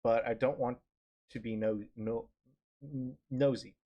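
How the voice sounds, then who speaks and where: Husky man reading aloud, halting delivery. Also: mid-range voice, fairly even intonation, lo-fi sound. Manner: halting, stumbles and repeats words. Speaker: streamer reading a visual novel aloud. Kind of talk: live read-aloud narration of a visual novel. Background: music.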